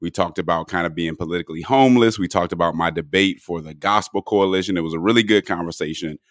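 A man hosting a podcast in relaxed conversational talk, his voice a little hoarse and cracking. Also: sits low, quick pace, no echo, clean studio sound. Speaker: podcast host. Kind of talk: casual podcast chat.

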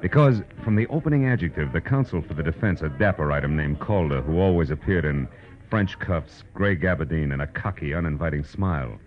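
An American actor playing a tough, cynical private detective who narrates in clipped, punchy, wry radio noir style. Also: male voice, very deep voice, expressive, fairly quick. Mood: wry, narrating. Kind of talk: 1940s-50s radio drama noir narration. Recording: dull vintage radio recording with music.

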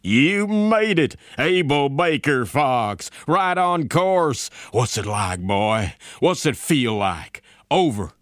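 A man's voice: on the low side, animated, moderate pace, hardly any pauses, a bit bright, no echo, studio quality. A man voicing an eager, anxious army general who calls out urgently in an audiobook story. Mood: elated, eager. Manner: urgent, calling out. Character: eager, anxious male army general commanding a space project.

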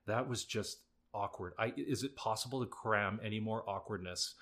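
A man's voice: on the low side, normal speed, a bit bright. A young adult man, a musical theatre actor, telling audition stories in a laid-back, self-deprecating, chatty way. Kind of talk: casual storytelling interview.

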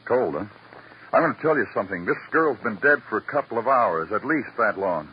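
An actor playing a world-weary New York police detective in a vintage radio noir, with terse, moody, poetic delivery. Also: male voice, on the low side, expressive, a little fast, a little hiss or hum, natural voice. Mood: probing. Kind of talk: 1940s-50s radio noir narration and acting. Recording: muffled vintage radio broadcast.

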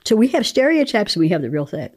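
A woman's voice: mid-range voice, very animated, fast, slightly rough. A woman, a psychologist guest, reading research aloud dramatically and explaining it in plain, emphatic words.

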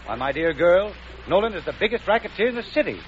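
A man's voice: high voice, big pitch swings, fast talker. A polite, hesitant jobless man. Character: polite, proper young man out of work. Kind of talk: 1940s radio acting. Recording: dull old radio recording, hiss.

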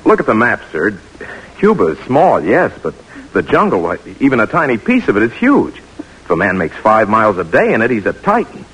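An actor playing a skeptical, worried army captain, hesitant yet emphatic and deferential, in an old-time radio drama. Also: male voice, lowish voice, huge pitch swings, normal speed, a bit bright, slightly rough, full character voice, poor audio. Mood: skeptical, protesting.

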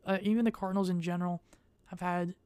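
A young adult woman vlogging in a casual, rambling way. She speaks in a monotone and hesitates often, with many fillers. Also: on the low side, quick pace, clear, resonant tone, no echo.

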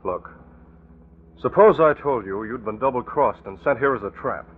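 An actor playing a calm, commanding masked vigilante in a 1940s radio drama, on an old muffled recording. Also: male voice, medium pitch, moderate pace, husky. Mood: firm, menacing.

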